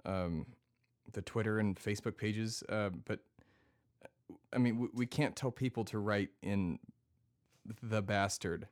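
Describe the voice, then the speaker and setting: Male actor as casual, deadpan producer sidekick for a comedy podcast, halting and wry. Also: lowish voice, close to an everyday voice. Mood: hesitant. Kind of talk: scripted comedy podcast dialogue.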